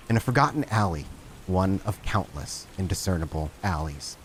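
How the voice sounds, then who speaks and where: A man's voice: fairly low pitch, a little hiss or hum, low-quality recording. A man hosting and narrating a tabletop roleplaying game as storyteller, with a dramatic, descriptive manner.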